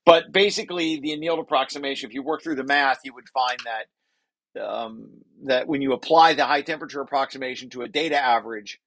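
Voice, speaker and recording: A man's voice: mid-range voice, a little fast, a bit bright. A man reading through a technical paper and explaining it informally, thinking aloud and correcting himself as he goes. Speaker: researcher presenting own paper.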